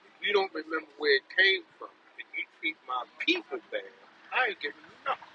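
A man in his seventies with an American accent talks in a slightly high, very bright voice. His delivery is casual but preacherly, swinging widely in pitch as he repeats phrases for emphasis. There is faint noise behind him. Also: wildly swooping pitch, brisk, muffled recording. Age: 70s. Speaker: podcast guest. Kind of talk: casual conversational advice with preacherly exhortation.

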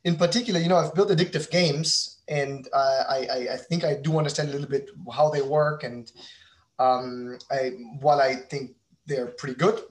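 A man with a German accent tells a personal story as an interview guest. His voice sits at a medium pitch, and he speaks in a halting way, often repeating words as he goes. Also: low-quality recording. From Berlin, Germany. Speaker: podcast guest, entrepreneur.

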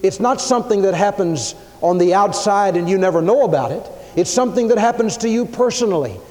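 A man preaching a sermon, emphatic, swinging his pitch and loudness as he acts out the people he quotes. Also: expressive, fairly quick. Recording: long hall reverb.

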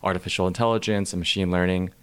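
A man's voice: sits low, steady tone, quick pace, smooth. A young man from the tri-state area introducing himself on a podcast in an upbeat, tidy way. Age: young adult. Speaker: podcast producer.

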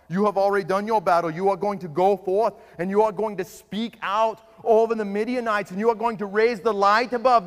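A man's voice: sits high, fairly bright tone, in a large hall. A man voicing an exasperated, bossy angel of the Lord, declaiming sternly and impatiently in a comedic Bible sketch.

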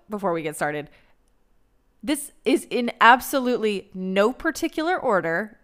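A young woman with an American accent talks straight to the listener and gives advice in a casual monologue. Her voice is smooth and clear. She is blunt and candid, and she swears freely. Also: medium pitch, a bit bright. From United States. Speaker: advice vlogger.

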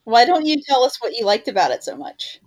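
A woman's voice: a little high, very animated, brisk, a little mellow. A woman co-hosting a podcast, talking in a casual, conversational style. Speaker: podcast co-host.

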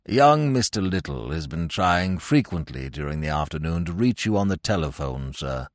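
Picture a man acting as an unruffled English valet in a comic storybook. He speaks low, formal and measured, deferential to the last and entirely deadpan. The sound is dry and close. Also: dry recording. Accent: British.

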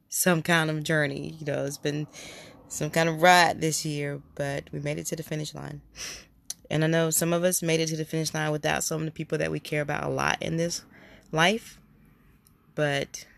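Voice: mid-range voice, gravelly. A podcast host with a cold, plainly congested, talking in a rambling, heartfelt solo episode. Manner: rambling, heartfelt, repeats words. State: stuffy, congested nose from a cold. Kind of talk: casual solo podcast monologue.

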